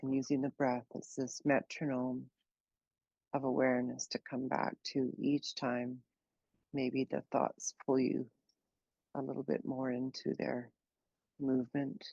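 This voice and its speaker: A woman leading a guided meditation, speaking slowly and gently in an unhurried way with long pauses. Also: very deep voice, flat delivery, vocal fry, slightly rough, no echo, lo-fi sound. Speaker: meditation teacher.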